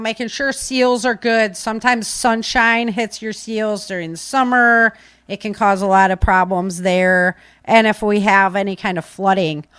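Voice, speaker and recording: A woman's voice: fairly high pitch, fairly bright tone, no room sound, clean studio sound. A woman hosting a podcast, chatting casually and giving practical tips in an informal, folksy, rambling way. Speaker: podcast host.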